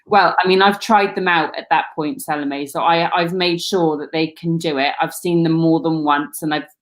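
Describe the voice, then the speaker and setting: A woman's voice: deep voice, fairly even intonation, moderate pace. A British woman working as a casting director, talking candidly in a casual Q&A with many "like"s and restarts. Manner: candid, rambling, lots of "like". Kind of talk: casual Q&A chat.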